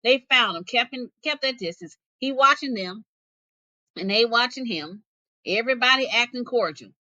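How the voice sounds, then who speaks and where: A woman reading folk tales aloud as a teacher, in an expressive storytelling style. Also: a little high, moderate pace, no room sound, highs cut off.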